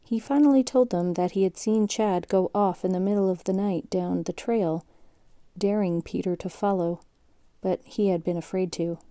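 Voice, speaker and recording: A woman playing a sober chronicler, narrating a scripted account in a measured, factual manner. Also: mid-range voice, dark tone, dry recording, natural voice. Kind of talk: scripted documentary-like story narration.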